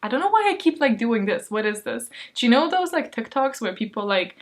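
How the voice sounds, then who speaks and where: A woman's voice: a little high, big pitch swings, brisk. Chatty, self-deprecating woman vlogger. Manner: rambling, self-deprecating, giggly. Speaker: book vlogger and host. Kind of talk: casual chatty vlog to camera.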